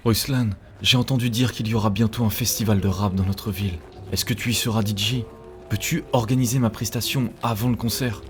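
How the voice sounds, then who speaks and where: A man's voice: fairly low pitch, steady tone, brisk, lightly acted. A man voicing an earnest, rebellious young male student who speaks in a preachy, rhetorical way in a cartoon. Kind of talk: scripted cartoon dialogue. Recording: long hall reverb, music bed.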